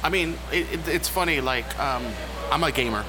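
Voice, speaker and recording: A man talking as a guest on a podcast in a cigar lounge, joking and rallying listeners in an animated way. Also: on the high side, moderate pace, over music. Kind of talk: casual roundtable chat. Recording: noisy with passing traffic.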